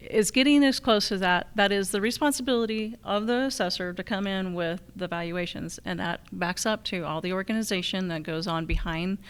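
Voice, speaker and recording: Female candidate, slightly low and very clean voice, halting forum delivery. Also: a bit bright, clear, resonant tone. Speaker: challenger candidate for county assessor. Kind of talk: forum answers. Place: live public forum on a college campus.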